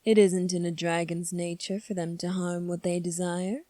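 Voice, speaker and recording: A woman voicing a composed, coolly defiant young fantasy prince in an ASMR roleplay, speaking formally and deliberately with long pauses. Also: fairly low pitch, level tone, dark tone, no room sound, lightly acted. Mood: calm, probing.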